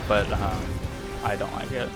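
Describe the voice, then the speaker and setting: Casual young gamer guy, loud music behind. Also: lowish voice, steady tone, unhurried. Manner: casual, swears, says "dude" a lot, talks to himself. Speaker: gaming video creator. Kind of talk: casual gameplay commentary. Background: loud game music.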